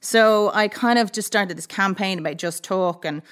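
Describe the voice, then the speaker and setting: A woman's voice: medium pitch, normal speed, fairly bright tone, clear, resonant tone. A young woman, a guest on a talk show, telling her story in a plain, matter-of-fact way. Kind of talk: casual interview chat.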